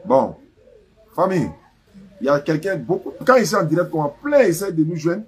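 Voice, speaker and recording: A man's voice: sits high, big pitch swings, moderate pace, vocal fry, darkish tone, a little hiss or hum. A man with an Ivorian French accent hosting a live religious phone-in, talking informally to listeners and callers. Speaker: host of a religious call-in live stream. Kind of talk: live phone call-in talk.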